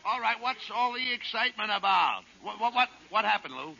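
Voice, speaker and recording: A man playing an exasperated straight man in a 1940s radio comedy, firing off brisk questions. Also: high voice, animated, vocal fry, bright, forceful tone, exaggerated voice. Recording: noisy dull old radio transcription.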